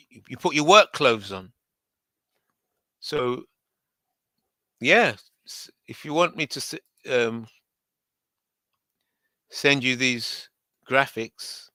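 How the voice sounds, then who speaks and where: A man hosting a livestream in casual chat, hoarse, rambling and repeating himself with many restarts. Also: mid-range voice, pushed and bright, dry recording. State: hoarse, voice going. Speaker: livestream host.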